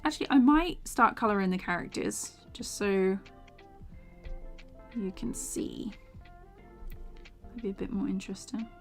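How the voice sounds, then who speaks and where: A young woman chatting casually while she animates on a live stream, her voice tired from a day of talking, often laughing and drifting into soft mumbles as she works. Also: mid-range voice, lively intonation, unhurried, long pauses, faint music behind. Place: small home room, indoors. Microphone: desk microphone with foam cover.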